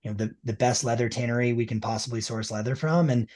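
A man's voice: medium pitch, steady tone, fast, a little mellow. A man explaining product details with enthusiasm in a casual interview, using plenty of fillers like "you know" and "like". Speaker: ski boot liner maker representative.

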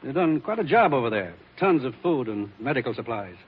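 Man acting authoritative spy chief, dry, clipped, mid-pitched, faint hiss, vintage radio drama. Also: very animated, a little fast, close to an everyday voice. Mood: grave, businesslike. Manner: dry, clipped, matter-of-fact. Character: dry, authoritative male intelligence commissioner. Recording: dull hissy 1940s radio recording.

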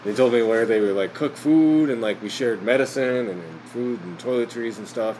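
A man chatting as a podcast guest, rambling with lots of fillers and quick laughs. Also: mid-range voice, darkish tone, slight background noise, rough recording. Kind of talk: casual chat.

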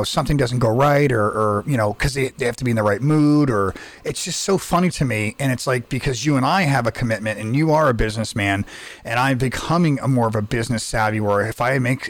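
A man co-hosting a podcast in casual chat, hoarse from losing his voice, rambling with frequent restarts. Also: medium pitch, fast, no echo. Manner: rambling, lots of restarts and fillers. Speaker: podcast co-host.

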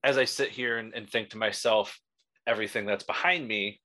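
A man's voice: lowish voice, fairly even intonation, a little fast, sharp tone. A man hosting an interview, chatting like a fan with many fillers and restarts. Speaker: podcast host. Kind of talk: casual interview.

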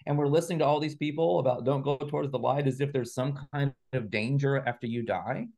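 A man's voice: mid-range voice, fairly quick, creaky, darkish tone. A man speaking as an interview guest, explaining speculative ideas in an articulate, assertive, conversational way. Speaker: interview guest, writer and researcher.

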